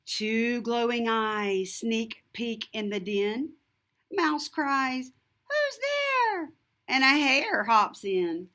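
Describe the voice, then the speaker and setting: A woman reading a rhyming picture book aloud to children, expressive and deliberate, with a sing-song lilt. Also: a little high. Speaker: storybook reader. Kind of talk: scripted children's read-aloud narration.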